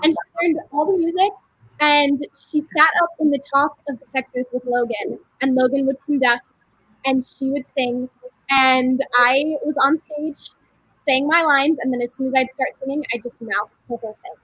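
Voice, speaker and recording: A young woman in a cast reunion telling a story in a casual, animated way, with plenty of "like" and "um". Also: high voice, moderate pace, no echo, low-quality recording. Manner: animated, with many fillers. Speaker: cast member.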